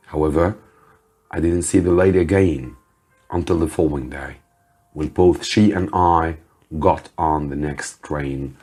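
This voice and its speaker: A man who teaches English reads a story aloud as narration for learners, with careful, deliberate delivery. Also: a bass voice, a little mellow, slightly rough.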